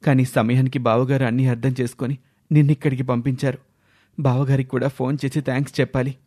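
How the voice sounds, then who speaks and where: A man's voice: mid-range voice, little pitch movement, quick pace, mellow, lightly acted. A man playing a dutiful young son, earnest and resolute, in a dramatised moral story.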